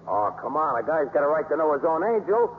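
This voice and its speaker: A man's voice: on the high side, sing-song, fast talker. A man playing a hot-tempered baseball manager struggling to behave, blustery and hesitant, in 1950s radio drama style. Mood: curious, pressing. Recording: tinny, noisy 1950s radio recording.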